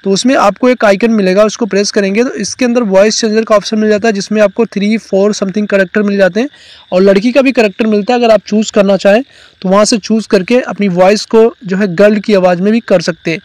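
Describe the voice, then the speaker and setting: An Indian man hosts a casual tech tutorial in a high-pitched voice. He explains step by step in an instructional way, peppering his speech with English terms. The recording is dry and close, as a voice-over. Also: fairly even intonation, fairly quick, darkish tone, dry recording.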